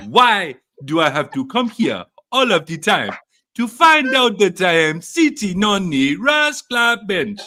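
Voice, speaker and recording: A man playing an indignant French footballer, sulking theatrically with drawn-out outrage in a French accent. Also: high-pitched, very animated, pushed and bright, full character voice.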